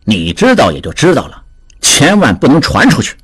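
A man voicing an excitable, easily rattled merchant in an audiobook, talking in fast, stammering exclamations. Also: mid-range voice, slightly rough, exaggerated voice. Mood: flustered. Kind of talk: audiobook character voice.

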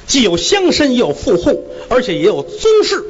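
A storyteller voicing a stern male magistrate in his thirties, loud and commanding. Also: very high voice, wildly swooping pitch, moderate pace, breathy edge, highs cut off, voice pushed up, full character voice.

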